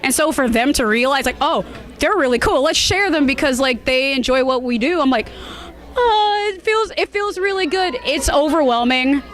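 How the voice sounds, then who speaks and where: A woman with a high voice speaks casually as a guest in an interview. She is expressive and bubbly, talking in an informal, conversational way about her hobby. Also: normal speed. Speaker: cosplayer being interviewed.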